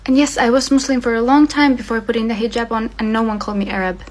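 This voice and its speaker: A woman's voice: on the high side, steady tone, fast talker. A young woman from Finland, speaking earnestly and with passion to her viewers, with frequent fillers. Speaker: vlogger. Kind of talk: earnest talk to camera.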